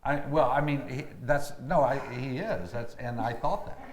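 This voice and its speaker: An older American man chatting casually as an interview guest, telling things in a halting, repeating way. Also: medium pitch, background noise. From Memphis, United States. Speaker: actor in a community theatre, interview guest. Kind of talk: casual chat. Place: indoor room, television interview. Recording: echoey room.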